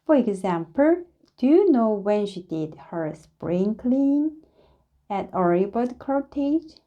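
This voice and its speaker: A woman reading a children's story aloud in an expressive, deliberate way, phrasing it dramatically for young listeners. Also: on the high side, narrow, tinny audio. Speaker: storybook reader.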